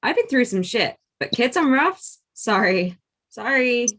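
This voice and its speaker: A woman's voice: fairly high pitch, sing-song, a little slow, a bit bright, heavily acted. A woman playing a blunt, candid character at a musical comedy table read.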